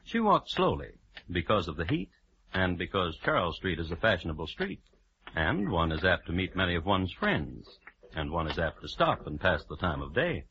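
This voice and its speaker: Low-voiced, droll crime-story host. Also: male voice, slight background noise, full character voice. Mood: wry. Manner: deadpan, measured storyteller. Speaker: host and narrator. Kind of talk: scripted old-time radio narration. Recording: muffled old radio recording, music.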